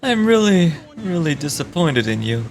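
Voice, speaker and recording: Game villain-type trickster, male played by a woman: smug, condescending, lowered voice with big melodic swings, faint noise behind. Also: big pitch swings. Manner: theatrical, drawn-out mock politeness. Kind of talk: game dialogue read aloud in character.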